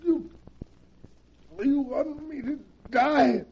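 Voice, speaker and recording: A man playing a poisoned, dying husband in a 1940s radio drama, talking in slow, gasping, bitter phrases. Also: extremely high pitch, a little mellow, slight background noise, full character voice. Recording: dull lo-fi 1940s radio recording.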